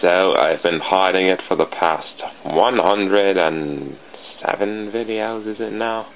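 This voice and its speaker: Very deep, gravelly British-accented man. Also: slow pace. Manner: rambling, talks to himself, self-deprecating. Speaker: channel host drawing sprites. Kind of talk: casual commentary while drawing. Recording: tinny phone-like lo-fi mic with faint hiss.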